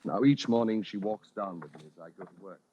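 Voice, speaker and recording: A man's voice: fairly low pitch, a little fast, dull audio, a little hiss or hum, close to an everyday voice. An actor in old-time radio style playing a deluded, meek yet grandiose man with brittle nerves, speaking formally and precisely. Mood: smugly confiding. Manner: formal, polite, stiffly precise.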